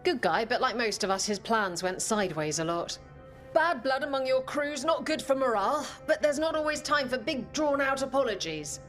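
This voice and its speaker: A woman's voice: high-pitched, lively intonation, normal speed, a bit bright. An actress voicing a brash, pirate-like adventurer woman with a swaggering storyteller's manner in a video game. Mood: earnest explaining. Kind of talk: video game cutscene dialogue. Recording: game music behind the voice.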